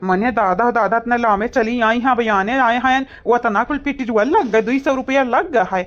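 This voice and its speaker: A woman's voice: a little high, normal speed, close to an everyday voice. A woman voicing a taunting, grumbling village man in an Awadhi comedy cartoon, argumentative and rustic. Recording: dull lo-fi audio.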